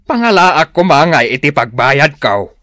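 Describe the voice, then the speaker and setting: A man's voice: fairly high pitch, sing-song, a little slow, pushed and bright, no echo, studio quality, heavily acted. An actor with an Ilocano accent playing a sneaky, hen-pecked older village man who stammers when cornered, in a radio comedy drama. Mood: flustered, defensive.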